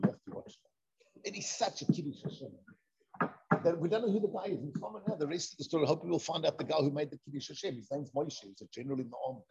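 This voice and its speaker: A man teaching an informal Torah discussion, excitable, repeating himself and mixing in Hebrew and Yiddish terms, on a dull lo-fi recording. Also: a little high, slightly rough.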